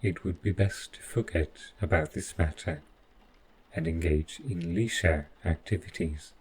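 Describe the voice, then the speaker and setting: A man playing an overprotective, stiffly polite robot butler in an audio roleplay, speaking in formal, deliberate phrases. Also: low-pitched, a little mellow, breathy edge, full character voice, low-quality recording. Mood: evasive, insistent. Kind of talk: scripted audio roleplay addressed to the listener.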